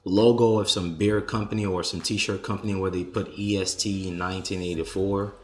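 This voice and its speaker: A man hosting a conference call, answering a caller's questions in a measured, explanatory way with some restarts. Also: low-pitched, level tone, reverberant.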